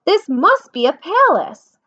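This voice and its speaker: A woman's voice: very high-pitched, big pitch swings, takes it slowly, pushed and bright, no room sound, dull audio, pitched above the performer's own voice, exaggerated voice. A woman voicing a small, wistful boy toy bear in a storybook, earnest and marvelling. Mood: wondering.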